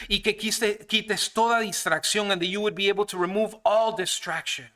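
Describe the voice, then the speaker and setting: Guest preacher, man from Canada, high and bright, earnest bilingual delivery, church sanctuary. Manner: alternates each phrase between two languages, earnest. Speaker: guest pastor. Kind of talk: bilingual sermon and prayer.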